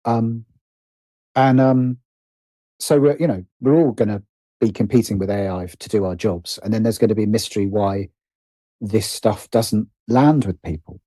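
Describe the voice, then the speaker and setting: A man's voice: on the low side, moderate pace, dark tone, dry recording. A middle-aged British man speaking reflectively as an interview guest, often stopping to rephrase himself. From Britain. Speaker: guest, writer and producer.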